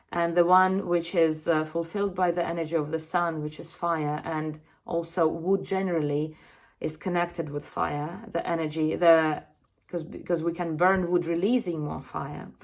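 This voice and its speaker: A woman in her thirties, originally from Russia, speaking in a somewhat low voice. She explains her cards in an earnest, unhurried way, often pausing with fillers and starting sentences over. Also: darkish tone. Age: 30s. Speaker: card reader presenting her deck. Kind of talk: casual spoken explanation to camera.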